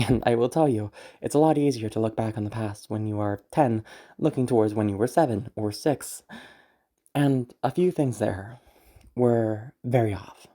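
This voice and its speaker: A woman's voice: rumbling low, normal speed, warm tone. A woman talking casually to her listeners as an audio creator, explaining things in a rambling, conversational way. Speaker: audio roleplay creator and performer.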